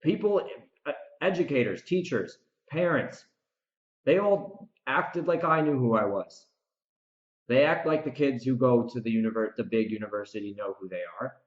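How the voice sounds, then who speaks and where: A man's voice: medium pitch, animated, moderate pace. Man in a roomy, dull recording. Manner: emphatic, repeats phrases and restarts for effect. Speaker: podcast host and author. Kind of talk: reflective solo recap commentary, part rant.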